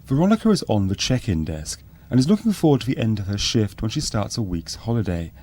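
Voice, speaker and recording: A man playing a straight-faced, matter-of-fact docu-soap narrator in a radio spoof, reading scripted narration deadpan. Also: on the low side, quick pace, breathy edge.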